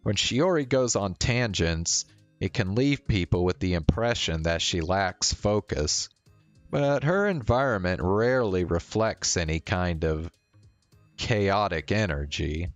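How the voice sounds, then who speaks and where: A man's voice: sits low, expressive, a little slow, no echo, faint music behind. A man narrating a visual novel aloud as a streamer.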